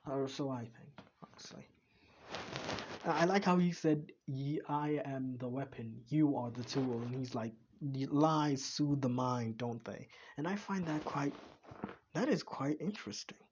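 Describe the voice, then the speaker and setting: A man's voice: mid-range voice, a little slow, muffled recording, background noise. A man giving casual reaction commentary, rambling and musing with frequent restarts and stammers. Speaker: reaction video creator.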